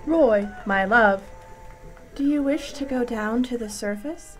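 Higher female voice acted, unhurried, doting spaceship computer, obliging, comic radio play, faint noise. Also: soft background music. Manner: slow, measured, doting.

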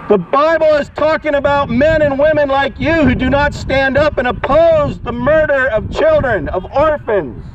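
A man's voice: very high-pitched, normal speed, hardly any pauses. A man street preaching outdoors, declaiming accusingly in short, projected phrases through a megaphone. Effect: amplified through a megaphone. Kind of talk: open-air protest preaching. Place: outdoors by a walkway and car park. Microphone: action camera beside the megaphone. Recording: dull megaphone sound, outdoor noise, cars.

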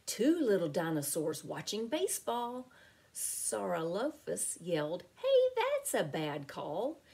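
A middle-aged woman reading a picture book aloud to children as a teacher, in an expressive, deliberate, sing-song way. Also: medium pitch, vocal fry, husky. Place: small home room with window blinds.

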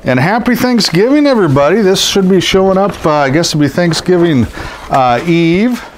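A man's voice: sits high, animated, normal speed. A middle-aged man with a head cold, sounding scratchy and stuffed up, rambling casually with many ums and uhs. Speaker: collectibles seller. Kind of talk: casual show-and-tell narration. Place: indoors over boxes of posters.

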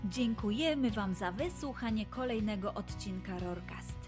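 A woman narrating and hosting a children's story podcast, deliberately addressing young listeners. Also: medium pitch, animated, over music.

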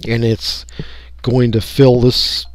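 A man talking through a software tutorial step by step in an unscripted, folksy way. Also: mid-range voice, completely flat delivery, takes it slowly, dry recording. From United States. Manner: unscripted, folksy, explaining each click. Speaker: radio hobbyist giving a tutorial. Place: indoors, voice-over for a screen recording.

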